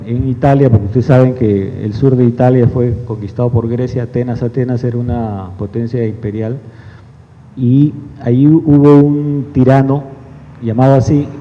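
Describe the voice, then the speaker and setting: A middle-aged man with a Lima accent from Peru, giving a wry, digressive public lecture with many fillers. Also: medium pitch. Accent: Lima Peruvian Spanish. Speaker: guest speaker. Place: lecture hall. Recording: echoey hall, dull lo-fi sound.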